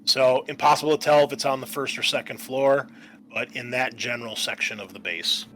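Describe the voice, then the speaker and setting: A man narrating a tabletop horror game as game master, pausing often as he describes the scene. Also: medium pitch, steady tone, a bit bright, slight background noise.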